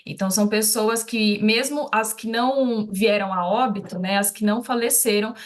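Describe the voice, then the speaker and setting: A Brazilian woman speaking as an expert guest in a live news interview, explaining in a measured way with frequent tag questions. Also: medium pitch, fairly even intonation.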